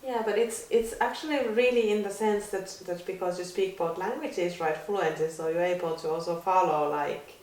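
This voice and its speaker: Gentle woman interviewer in an echoey room. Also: lowish voice, moderate pace, few pauses. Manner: gentle, filler-heavy questioning. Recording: echoey room, mic at a distance.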